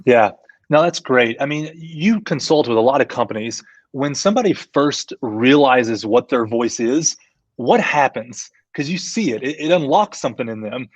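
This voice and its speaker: A man's voice: medium pitch, animated, moderate pace, no room sound. A man hosting a business live-stream discussion as company CEO, asking questions and walking through a screen share.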